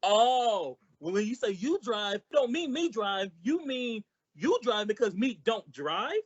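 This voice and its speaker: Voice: medium pitch, big pitch swings, a little slow, husky, highs cut off. A performer playing a bumbling, easily confused male sidekick in an old-time radio comedy, full of wisecracking retorts. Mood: baffled.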